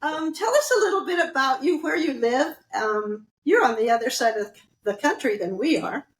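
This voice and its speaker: A woman's voice: sits high, lively intonation, normal speed, reverberant, rough recording. A woman hosting a podcast, chatting casually and upbeat with a guest, often laughing. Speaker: podcast host. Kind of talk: casual interview chat.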